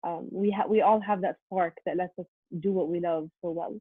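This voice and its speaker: A woman giving a prepared, earnest presentation over a video call, steady with frequent um fillers. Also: fairly low pitch, moderate pace, husky, dry recording. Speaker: conference presenter. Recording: tinny phone-like line.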